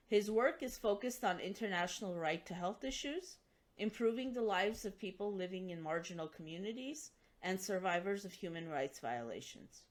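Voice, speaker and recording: A woman hosting an interview, reading formal introductions and questions in a measured, carefully worded way. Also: mid-range voice. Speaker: interview host.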